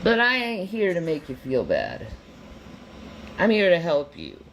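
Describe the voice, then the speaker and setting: Slow, earnest woman with faint background noise. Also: on the low side, wildly swooping pitch, muffled recording. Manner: earnest, repetitive, emphatic. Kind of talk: earnest motivational monologue.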